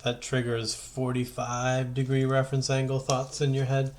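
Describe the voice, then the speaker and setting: A man's voice: medium pitch, monotone, moderate pace, slight background noise. A man giving an informal math lesson as a teacher, talking conversationally and thinking aloud as he works through steps. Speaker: high school math teacher.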